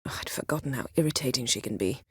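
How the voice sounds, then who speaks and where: A woman's voice: a bass voice, animated, fast talker, breathy edge, dry recording, clean studio sound, full character voice. A woman voicing a proud, commanding, sardonic sorceress in a fantasy video game, with formal, cool, clipped diction. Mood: curt, irritated. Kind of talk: video game voice acting, scripted dialogue.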